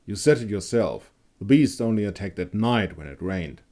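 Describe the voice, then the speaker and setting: A man voicing a cocky young monster hunter with a defensive, snarling manner in a dark fantasy audio story. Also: fairly low pitch, animated, normal speed, lightly acted. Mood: insistent.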